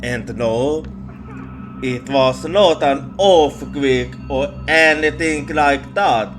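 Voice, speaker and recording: A man who is a non-native English speaker tells a ghost story in ASMR style, halting and repeating words. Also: mid-range voice. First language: not English. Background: music.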